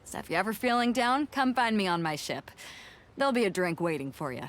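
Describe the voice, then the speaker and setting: An actress playing a bold, friendly ship captain who talks in a hearty, direct way. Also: female voice, mid-range voice, very animated, brisk, lightly acted. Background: soft game music.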